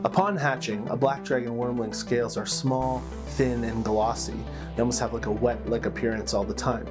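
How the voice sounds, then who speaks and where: A man narrates fantasy lore as a game master and host. His voice is mid-pitched, with a measured storytelling delivery and dramatic pauses. Plucked guitar music plays clearly behind him. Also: steady tone.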